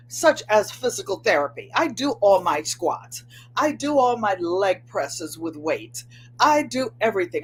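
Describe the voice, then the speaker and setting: A woman in her seventies with a New England accent, slightly nasal and twangy, chatting excitedly to viewers as host of her livestream from a room at home. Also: on the high side, slight background noise, poor audio. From Connecticut, USA. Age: 70s. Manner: chatty, excitable, gestures with hands. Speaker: channel host. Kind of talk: casual livestream chat.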